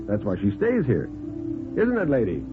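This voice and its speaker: Man playing a smug, drawling party bachelor, lively pitch. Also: normal speed. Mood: playful boasting. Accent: American. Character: tipsy, smug society bachelor improvising sinister boasts. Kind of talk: 1950s radio drama acting. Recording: vintage radio recording, phone-like band, music behind.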